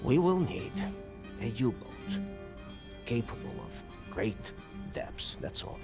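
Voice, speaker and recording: An actor playing a secretive older engineer of a mystical society, speaking earnestly and often in a whisper. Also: male voice, mid-range voice, very animated, gravelly, over music, heavily acted. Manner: earnest, hurried instructions. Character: secretive old male inventor, urgent, mystical. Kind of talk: video game cutscene acting. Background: loud game score.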